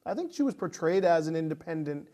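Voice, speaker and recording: A man's voice: fairly high pitch, sing-song, fast talker, warm tone. A man co-hosting a casual film discussion, feeling his way through thoughts with repeated phrases. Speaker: podcast co-host.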